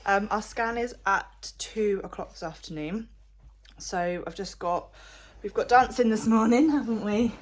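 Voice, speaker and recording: A woman's voice: medium pitch, a little slow. A pregnant young woman, rambling casually with lots of fillers as she talks to the camera at home. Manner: chatty, rambling with many fillers. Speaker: vlogger. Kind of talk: casual diary vlog.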